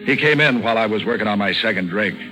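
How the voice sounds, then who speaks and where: Male actor as noir radio detective, low-pitched, deadpan, wry, slangy, music behind, vintage recording. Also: quick pace, lightly acted, low-quality recording. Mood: wry, weary. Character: cynical, wisecracking hard-boiled male private troubleshooter, narrating. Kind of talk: 1940s radio acting and noir narration.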